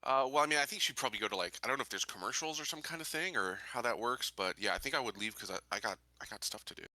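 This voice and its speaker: A man's voice: medium pitch, expressive, rapid-fire, bright, forceful tone, no room sound, rough recording. A man playing a tabletop roleplaying game, talking casually and tentatively as he thinks his choices aloud.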